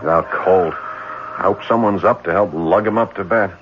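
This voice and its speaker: Decent, devoted young fiancé played by a man, lively low register, vintage radio drama style, dull and noisy recording. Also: normal speed, natural voice. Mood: weary, affectionate. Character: kind, gentle twin brother and devoted, protective fiancé. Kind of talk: old-time radio drama acting.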